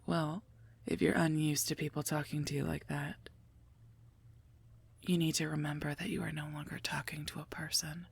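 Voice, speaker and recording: A woman voicing a sardonic female werewolf knight and mercenary bodyguard in an ASMR roleplay, husky and gravelly, dry and curt. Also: sits low, little pitch movement, moderate pace, exaggerated voice. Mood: dry, menacing. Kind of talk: scripted ASMR roleplay, addressed to listener.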